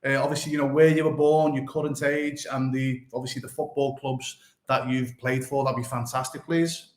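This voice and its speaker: A man's voice: mid-range voice, fairly even intonation, moderate pace, roomy sound. A man hosting a live podcast interview, effusive and full of fillers like "obviously" and "brilliant". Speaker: podcast host.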